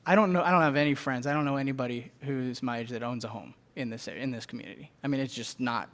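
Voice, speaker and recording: A young man, a teacher, speaking on a panel in a hesitant, halting way. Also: mid-range voice, a bit bright. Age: young adult. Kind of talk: panel discussion.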